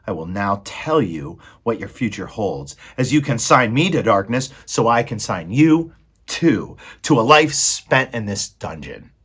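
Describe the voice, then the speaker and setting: A man's voice: lowish voice, sing-song, a little slow, fairly bright tone, husky, exaggerated voice. A man voicing a cruel, scheming male rat villain who speaks in a sneering, haughty, coldly mocking way.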